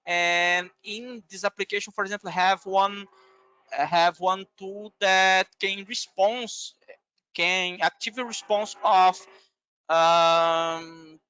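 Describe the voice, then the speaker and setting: A man whose native language is Portuguese, giving a webinar talk haltingly with many filler sounds over a video call. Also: high-pitched, fairly even intonation, pushed and bright, clean tone, dull audio. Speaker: guest speaker.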